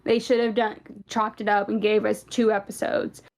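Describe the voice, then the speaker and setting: A woman's voice: mid-range voice, level tone, moderate pace. A young woman with a Southern US accent co-hosting a casual fan podcast, chatty and rambling with many fillers. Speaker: podcast co-host.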